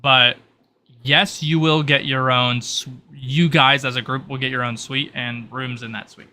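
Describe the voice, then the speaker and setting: A man's voice: medium pitch, fairly even intonation, a little slow, sharp tone, a little hiss or hum. A man running a tabletop roleplaying game as game master, casually explaining rules in a conversational way.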